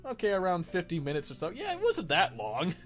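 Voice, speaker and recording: Guy hosting a game playthrough, kinda high voice, rambles and corrects himself, soft guitar music under it. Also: huge pitch swings, brisk. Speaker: host of a let's play. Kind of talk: casual game commentary.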